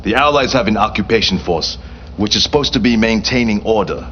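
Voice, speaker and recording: A man's voice: fairly low pitch, brisk, fairly bright tone, highs cut off, a little hiss or hum, lightly acted. A man acting a proud, vengeful Japanese prince in a game cutscene, speaking formally and solemnly with grandiose command. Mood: indignant, resolute.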